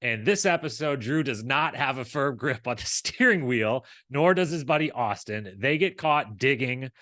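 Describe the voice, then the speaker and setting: A man with a mid-pitched voice co-hosts a casual recap show. He talks in a rambling, conversational way and slips into imagined quotes to make his points. The sound is dry, with very little room in it. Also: expressive, normal speed, fairly bright tone, dry recording. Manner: rambling, acts out imagined dialogue. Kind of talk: casual, conversational episode commentary.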